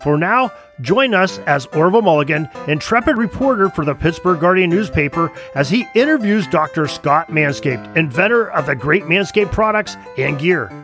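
A man's voice: on the high side, animated, moderate pace, music in the background. A man delivering scripted promotional narration as an announcer.

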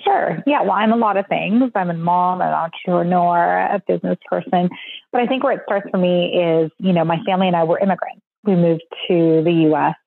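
A woman's voice: on the low side, a little fast, creaky, dry recording. Woman on a tinny phone-like line. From Sudan. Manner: chatty, reflective, laughs easily. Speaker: guest, company founder. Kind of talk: podcast interview.

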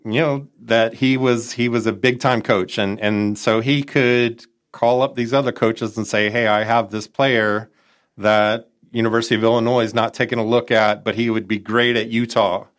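A man's voice: medium pitch, fairly even intonation, normal speed. A middle-aged man with a nasal Chicago accent, chatting as an interview guest, rambling with folksy asides and frequent self-corrections. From Minot, North Dakota. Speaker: guest, nonprofit entrepreneur advisor. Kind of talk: conversational interview.

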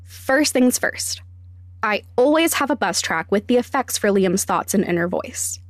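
A woman hosting a podcast, giving scripted instructional narration with deliberate, emphatic step-by-step phrasing. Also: medium pitch, animated, dry recording, clean studio sound. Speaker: podcast host, sound designer.

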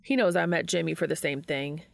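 Dry low-voiced sarcastic young man read by a woman. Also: a little fast, creaky. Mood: matter-of-fact.

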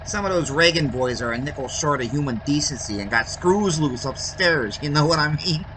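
An actor playing a talkative, folksy 1920s Chicago cab driver in an audio drama, with an American accent and a slangy, rambling way of telling a story. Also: male voice, on the high side, lively intonation, moderate pace, noisy background, lightly acted. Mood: wary, apologetic. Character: talkative 1920s Chicago cabbie, folksy baseball-loving yarn spinner.

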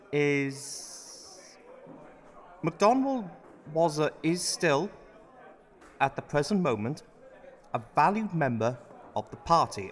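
A man's voice: a little high, big-room sound. An actor playing a cynical, ambitious, two-faced male politician in a radio play, grumbling and sardonic. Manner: sardonic grumbling, swears freely.

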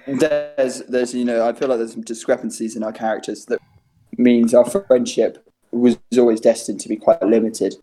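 Mellow-voiced English teenage podcaster. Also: male voice, mid-range voice, steady tone, moderate pace, low-quality recording. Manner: laughs a lot, swears casually, rambling. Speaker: podcast host. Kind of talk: casual comedy podcast chat. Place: home during quarantine.

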